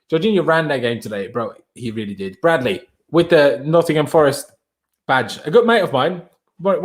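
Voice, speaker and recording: A man from Austria hosting a casual late-night live stream, chatting loosely, reading out viewer comments and calling them bro. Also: on the high side.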